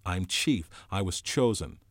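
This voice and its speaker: A man's voice: deep voice, lively intonation, unhurried, dry recording, clean studio sound. A man voicing an earnest boy leader in a storybook, urgently pleading for order with authority. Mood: firm.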